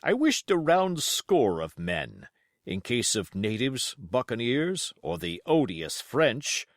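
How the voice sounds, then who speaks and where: A man performs a pompous, excitable country squire from a storybook. He blusters emphatically through a letter, his pitch swinging very widely, in a dry, close recording. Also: no echo, full character voice.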